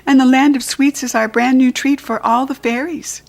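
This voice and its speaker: A woman's voice: high voice, fairly even intonation, moderate pace, creaky, pitched above the performer's own voice. A woman voicing a bubbly little female lollipop fairy who speaks enthusiastically. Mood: enthusiastic.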